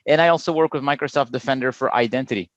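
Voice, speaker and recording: A middle-aged Frenchman speaks in easy, filler-laden explanation, his accent clear through the chat. The sound is dry and close, with a slightly muffled, lo-fi edge from a remote call mic. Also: mid-range voice, very fast, no room sound. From France. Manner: explanatory, relaxed, many "uh" fillers. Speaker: guest product manager. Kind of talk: casual podcast chat.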